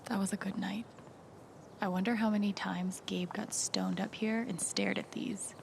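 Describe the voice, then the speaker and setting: Young female game lead: creaky, wry, wistful inner monologue, naturalistic, some background noise. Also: fairly even intonation, moderate pace, darkish tone. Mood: wry, musing. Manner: low-key, musing to herself. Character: young woman game protagonist, wry, wistful, quietly grieving.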